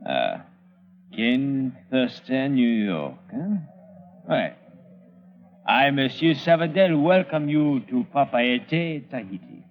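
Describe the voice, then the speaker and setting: A man's voice: mid-range voice, animated, slow, soft background music. A deferential hotel clerk from a vintage radio drama. He speaks with a French accent in hesitant, formal, effusive phrases. The recording is dull and aged. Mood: obsequious. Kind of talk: 1940s radio acting.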